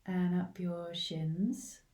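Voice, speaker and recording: A woman leading a guided body scan meditation in a gentle, unhurried way, leaving long pauses between short phrases. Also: fairly low pitch, fairly even intonation. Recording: roomy, echoing, distant from the mic.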